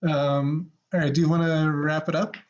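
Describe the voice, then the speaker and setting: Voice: medium pitch, little pitch movement, moderate pace. Rambling podcast host, muffled sound. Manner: rambling, repeats phrases. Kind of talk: casual improvised banter.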